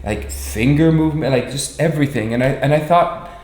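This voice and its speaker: A man's voice: fairly high pitch, darkish tone. American man in his forties, echoey room. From Miami, United States. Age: 40s. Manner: halting, searching for words, repeats himself. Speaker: interview guest, performer and director. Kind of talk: casual reflective chat.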